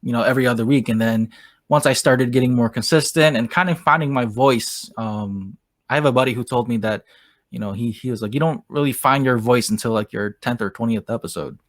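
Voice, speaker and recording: A man hosting a podcast, with an ordinary mid-pitched voice. He talks in an easygoing, casual way and often throws in "man." The recording is dry and close. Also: brisk, no echo. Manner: laid-back, says man a lot. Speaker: podcast host. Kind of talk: casual interview chat.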